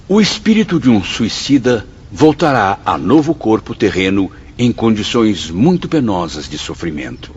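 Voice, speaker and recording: An actor with a Brazilian accent playing an earnest, dignified spirit pupil who reads a lesson aloud in a formal, deliberate way for a radio drama. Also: male voice, lowish voice, slight background noise. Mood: grave. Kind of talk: solemn radio drama recitation. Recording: dull recording with long hall reverb.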